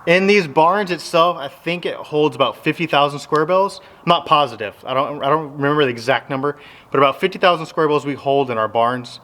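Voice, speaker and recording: Easygoing farmer vlogging in a big barn. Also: male voice, a little high, fairly quick, bright, forceful tone. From Texas. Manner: easygoing, rambling, explains step by step. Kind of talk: casual vlog talk to camera. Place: large open metal barn with tractors. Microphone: handheld phone at arm's length.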